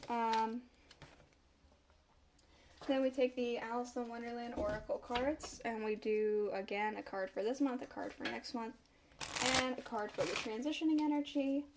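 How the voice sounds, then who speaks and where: A woman's voice: a little high, fairly even intonation, normal speed, background noise. A woman doing a casual tarot reading to camera, a bit stuffed up from a cold and musing aloud as she turns the cards. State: stuffed up, slight cough. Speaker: tarot reader.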